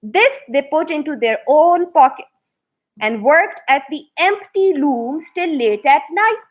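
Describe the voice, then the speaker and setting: A woman reading a fairy tale aloud to children with deliberate, expressive emphasis. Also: sits high, lo-fi sound. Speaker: children's storyteller.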